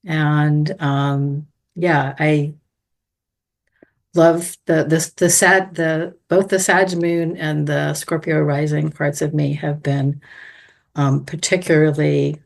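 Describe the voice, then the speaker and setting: A woman with a notably deep voice talks very slowly, wandering through her thoughts and circling back to find the right words. She is a podcast guest on a remote call, in a relaxed conversational manner. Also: gravelly. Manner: meandering, thoughtful, searching for words. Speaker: guest, astrology student.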